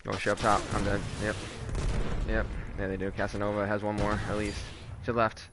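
A man making quick, excitable callouts to teammates during an online shooter match. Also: fairly low pitch, background noise. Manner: excitable, laughing, swearing. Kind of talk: rapid game callouts.

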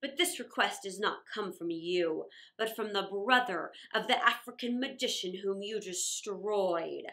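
A towering genie roars his fury in a loud, booming voice, as a woman reads him out. He scolds and thunders, dropping lower and darker when he turns to warning. This is a storybook spirit in a rage. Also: unhurried.

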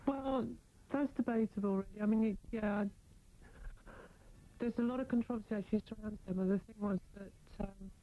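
A woman's voice: medium pitch, a little fast. A woman in her thirties speaking candidly as an interview guest, explaining herself in a reflective, conversational way. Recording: narrow-band, phone-like old radio aircheck.

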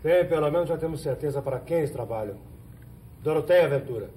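Casting: man as insistent Brazilian hero of the backlands, quick, highish, mellow; vintage TV drama. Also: animated, natural voice. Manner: insistent, direct. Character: earnest, sharp-minded hero of the backlands. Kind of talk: 1970s telenovela acting. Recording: noisy old 1970s TV soundtrack.

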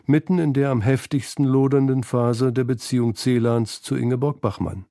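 A man's voice: mid-range voice, steady tone, moderate pace, warm tone, dry recording, studio quality. A man reading scripted narration for a literary documentary feature.

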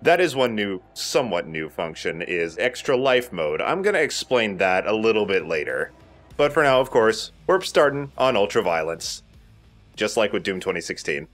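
A man's voice: lowish voice, very animated, moderate pace, fairly bright tone, soft background music. A man hosting a Let's Play, giving casual, conversational explanations of a video game. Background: video game sounds.

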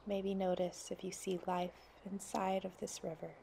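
A woman leading a guided meditation in a soft, soothing way, sometimes dropping to near whispers. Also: mid-range voice, little pitch movement, normal speed, creaky, slight background noise.

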